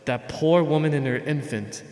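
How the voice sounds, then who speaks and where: An actor in a radio drama playing a mournful, chained ghost who gives solemn, ominous warnings in drawn-out declamation. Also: male voice, on the high side, a little mellow, close to an everyday voice. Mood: grave warning. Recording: cavernous long reverb under music.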